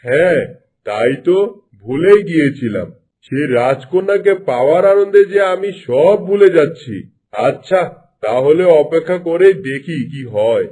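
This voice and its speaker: A man's voice: a little high, big pitch swings, normal speed, warm tone. A man voicing a vain, greedy, scheming king in a cartoon, with an imperious, commanding manner. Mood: smug. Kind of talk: Bengali cartoon dubbing.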